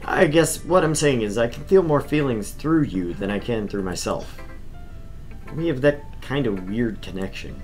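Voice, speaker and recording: A man's voice: medium pitch, animated, moderate pace, a little mellow, soft background music, close to an everyday voice. A man playing a wry, caring, self-deprecating teenage boy in a visual novel, speaking casually and expressively. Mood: awkward, earnest. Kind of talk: visual novel dialogue and first-person narration.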